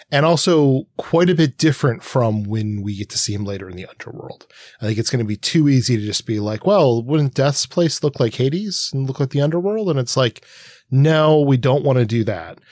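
Lowish-voiced man chatting analytically, dry sound. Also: dry recording. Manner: informal, thinks aloud with hedging asides. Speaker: podcast co-host. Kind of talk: casual analytical discussion.

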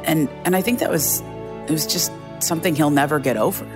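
Very deep-voiced lady journalist, earnest, soft music under her. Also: fairly quick. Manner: earnest, reflective, often restarts phrases. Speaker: journalist guest. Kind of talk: conversational storytelling interview.

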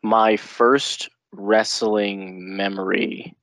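A man's voice: fairly low pitch, level tone, extremely slow, fairly bright tone, no echo. A man in his twenties, a guest on a podcast, riffing playfully. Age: 20s. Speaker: guest wrestler. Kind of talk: casual podcast interview.

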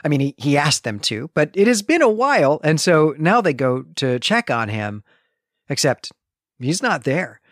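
Higher-voiced male podcast co-host, dry recording. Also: sing-song, normal speed, no echo. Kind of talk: conversational recap of a novel.

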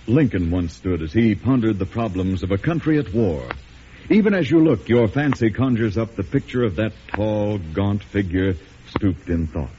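An American man narrating as a radio announcer in a dramatic, measured, theatrical scripted style. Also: fairly low pitch, warm tone. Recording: dull, noisy old radio recording.

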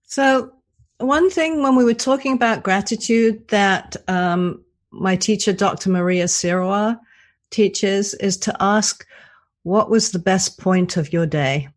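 A woman author and cantor speaking in a radio interview, soft and soothing, with hesitant restarts. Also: medium pitch, slow pace. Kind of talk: casual interview talk.

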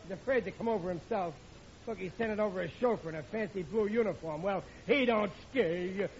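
A man's voice: high voice, a little fast. An actor playing a blustery, bumbling working-class husband and father who stammers and mangles his grammar in an old radio sitcom. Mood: blustering, alarmed. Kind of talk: 1940s-50s radio sitcom acting. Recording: dull old radio recording, noisy audience.

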